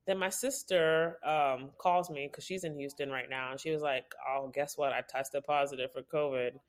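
A woman's voice: low voice, fairly even intonation, moderate pace. A young adult woman co-hosting a podcast, telling a story in a chatty way with lots of fillers. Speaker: podcast co-host. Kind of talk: casual chat.

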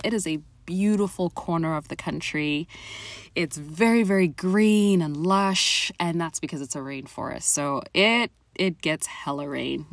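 A woman's voice: on the low side, a bit bright, dry recording. A woman in her thirties from Zimbabwe hosting her own podcast, talking frankly and encouragingly to listeners, with casual swearing. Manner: frank, profane, encouraging, talks straight to listeners. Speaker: podcast host. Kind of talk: personal podcast monologue.

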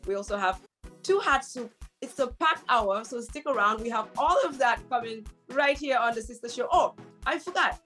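High-pitched woman, talk show host, playful teasing banter, music behind. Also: animated, normal speed, pushed and bright. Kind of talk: lively casual talk show hosting.

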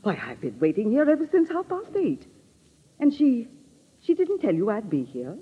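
A woman's voice: a little high, huge pitch swings, moderate pace, breathy edge, phone-quality sound, exaggerated voice. A woman acting a determined, suspicious middle-aged sister-in-law in a 1940s radio drama, blunt and accusing, on an old radio recording. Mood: indignant.

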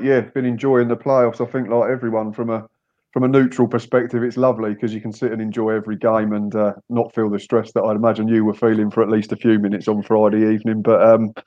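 A man's voice: medium pitch, darkish tone. A man co-presenting a football podcast with wry, dry opinions. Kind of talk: casual football chat. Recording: dull lo-fi audio.

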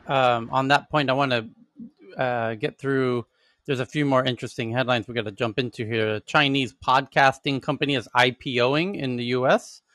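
A man's voice: mid-range voice, fairly bright tone, dry recording. Man, host, live audio chat, rambling, dry close sound. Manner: rambling, filler-heavy, restarts.

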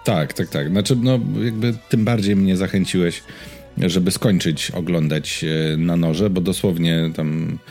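A Polish man with a very deep voice co-hosts a casual gaming podcast. His delivery is conversational and a bit halting, broken by pauses. Soft music sits faintly behind him. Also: steady tone. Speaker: podcast co-host.

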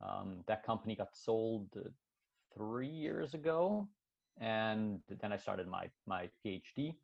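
A low, gravelly man's voice, heard on a slightly dull, lo-fi recording. He is a guest on a podcast, in his thirties, talking through technical ideas casually, thinking aloud with frequent fillers and restarts. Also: big pitch swings, unhurried. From Switzerland, raised in Canada. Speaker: podcast guest, sports scientist. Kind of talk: casual interview explaining science.

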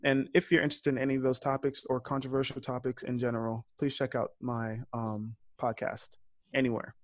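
A man's voice: medium pitch, flat delivery, a little fast, no echo, clean studio sound. A young man, a university student, talking earnestly about his podcast in a casual interview. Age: young adult. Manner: earnest, eager to debate. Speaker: podcast host, guest.

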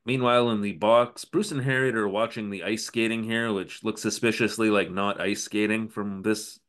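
A man's voice: fairly low pitch, steady tone, moderate pace, a bit bright. A man co-hosting a podcast, recapping an old TV episode in casual, sarcastic chat. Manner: sarcastic, recapping scenes with quoted asides. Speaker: podcast co-host.

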